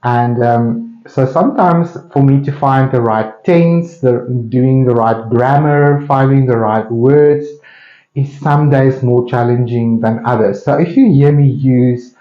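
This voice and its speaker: A man with a South African Afrikaans accent, a native Afrikaans speaker, musing casually and thinking aloud with pauses as he hosts an open painting studio. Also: medium pitch. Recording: roomy, slightly dull sound.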